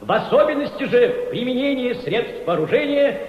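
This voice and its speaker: An actor playing a Soviet diplomat who delivers formal, measured and emphatic oratory in a radio play. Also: male voice, very high-pitched, expressive. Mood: firm, solemn. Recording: echoey hall with long reverb, dull sound.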